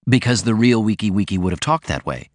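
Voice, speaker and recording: An earnest, headstrong young man who talks about his work with fervor, as a male narrator reads him. The voice stays fairly low but rises with emphasis. It is recorded close and dry. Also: animated, a little fast, no echo, lightly acted. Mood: insistent. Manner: fervent, emphatic. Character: earnest, stubborn young male writer, passionate.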